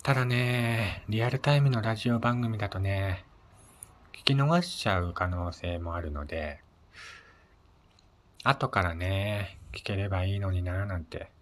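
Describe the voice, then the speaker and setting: A man with a low-pitched voice hosts a relaxed solo radio talk. He speaks politely in short phrases, often pausing on small fillers as he gathers his next thought. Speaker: solo podcast host. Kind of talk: casual solo radio chat.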